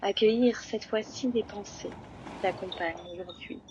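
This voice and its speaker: A woman teaching yoga nidra, leading a guided relaxation slowly, with long pauses between phrases. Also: mid-range voice, lo-fi sound. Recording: loud steady ocean-wave noise behind voice. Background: sound of sea waves.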